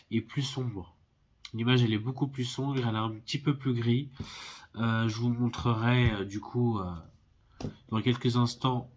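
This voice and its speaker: Man, stuffy with a cold, mid-pitched, casual unboxing chat, friendly, halting with fillers. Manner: hesitant, lots of filler sounds, friendly. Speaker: film collector YouTuber.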